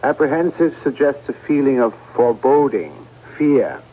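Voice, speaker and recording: An actor playing a skeptical psychiatrist in a radio drama, questioning in a pointed, measured manner. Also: male voice, mid-range voice, lively intonation, breathy edge. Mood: probing, analytical. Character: probing, skeptical male psychiatrist. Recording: muffled old radio broadcast.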